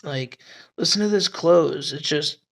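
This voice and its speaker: Raspy-voiced man, slow and gravelly. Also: fairly high pitch. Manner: rambling, swearing, frequent fillers. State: hoarse at night. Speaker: knife reviewer. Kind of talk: casual talk to camera.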